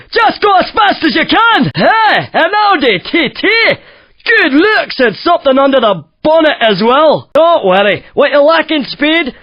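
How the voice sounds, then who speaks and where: An actor playing a cheeky, excitable Scottish driving guide who teases and exclaims in a racing video game. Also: male voice, very high voice, no echo. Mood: cheeky, upbeat. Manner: teasing, exclamatory. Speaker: game announcer coaching racing drivers. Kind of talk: animated racing game commentary. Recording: dry, slightly dull lo-fi game audio.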